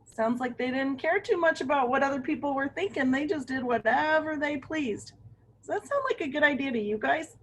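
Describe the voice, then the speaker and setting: A woman's voice: high-pitched, brisk, a little hiss or hum, poor audio. A woman reading a picture book aloud to children, with chatty asides and questions to her young listeners. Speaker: read-aloud storyteller for children.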